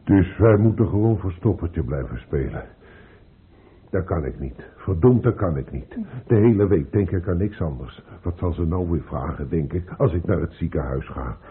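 Very deep, gruff father. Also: lively intonation, normal speed, full character voice. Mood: exasperated. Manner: blunt, impatient, plain-spoken. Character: gruff, worried older father of a hospital patient. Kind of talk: radio drama acting. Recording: muffled phone-like old radio recording.

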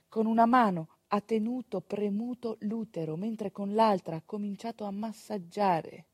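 A woman performing a theatrical monologue as a storyteller of rural midwifery, with a dramatic, emphatic delivery full of deliberate pauses. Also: mid-range voice, dark tone, husky, no room sound. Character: female storyteller recalling a rural midwife's work. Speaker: stage actress narrating a monologue.

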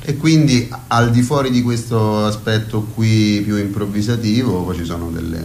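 A man, a musician in a casual radio interview, talking haltingly with a tongue-in-cheek manner. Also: lowish voice, a little mellow, slight background noise. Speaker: musician and performer being interviewed. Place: radio studio.

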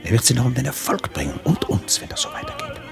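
Gravelly, fretful theatre director. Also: male voice, mid-range voice, huge pitch swings, fast talker, music in the background, heavily acted. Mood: worried, grumbling. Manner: grumbling, ironic. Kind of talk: German radio play acting.

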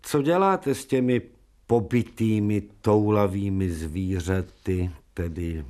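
An older man playing a dignified, learned professor who speaks deliberately in a radio drama. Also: fairly low pitch, mellow, close to an everyday voice. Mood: uneasy, probing.

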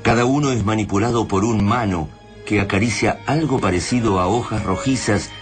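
A man with an Argentine accent narrating a science fiction radio drama in a solemn, measured, dramatic way. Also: on the low side, lightly acted. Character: solemn male narrator of an alien invasion tale. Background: music.

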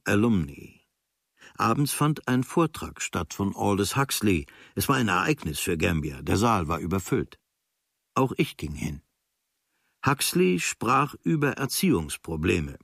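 An actor reading as a young German writer on his travels, observant and dryly critical, in measured, sober, clipped phrases. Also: lowish voice, gravelly, dry recording, clean studio sound, heavily acted. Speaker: reader of a writer's diary. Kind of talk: literary reading of a travel diary.

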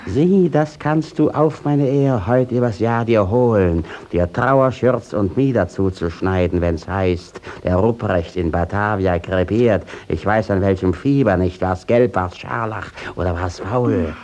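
An actor playing an evasive village judge who stammers, wheedles and blusters in a 1950s German radio play. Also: male voice, on the low side, a little slow, few pauses, a little mellow. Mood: wheedling, conspiratorial. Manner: stammering, hemming, theatrical.

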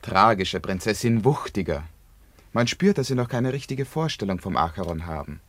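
An actor playing a demanding, pompous drama teacher in a German radio play, emphatic and instructing. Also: male voice, on the low side, lively intonation, normal speed, no echo. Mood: urging.

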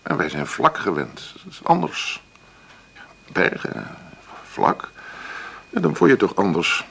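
A middle-aged café boss in a radio play. His voice is very low and gravelly. He talks bluntly and dismissively, rambling on with condescension toward whoever wants a job. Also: wildly swooping pitch, slow, a bit bright, full character voice. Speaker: employer interviewing an applicant. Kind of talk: naturalistic radio drama acting.

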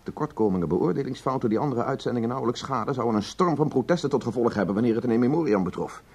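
An actor playing an aggrieved, self-justifying married radio producer in a Dutch radio play, defensive and long-winded in manner. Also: male voice, medium pitch, expressive, very fast, darkish tone, slightly rough. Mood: self-important.

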